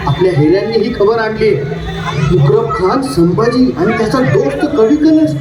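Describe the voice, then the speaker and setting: Man acting an excitable, very high-voiced courtier. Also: moderate pace. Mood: excited, deferential. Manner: deferential, excitable, declamatory. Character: excitable, deferential Mughal minister bringing news. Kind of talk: theatrical stage-play dialogue. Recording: echoey distant stage, music and crowd.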